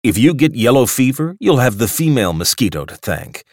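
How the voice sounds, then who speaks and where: A man's voice: medium pitch, sing-song, fairly quick, dry recording, studio quality, pitched above the performer's own voice. A man voicing a sour, peevish male clubman of about fifty, sardonic and lazy.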